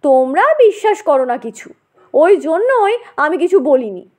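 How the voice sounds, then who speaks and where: A woman's voice: high-pitched, very animated, unhurried, a little mellow. A woman voicing a prim, devout, offended spinster in a story, with a dry, stiff manner.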